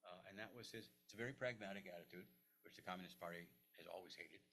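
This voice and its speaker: An older man, a historian, answering audience questions at a lecture, halting with many restarts and fillers. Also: sits low, fairly bright tone, slight background noise. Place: lecture room.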